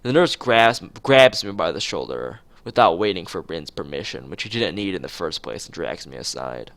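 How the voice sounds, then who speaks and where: A man's voice: low-pitched, very animated, normal speed, gravelly, fairly bright tone, faint music behind. A man streaming a visual novel, reading the story aloud expressively with occasional asides.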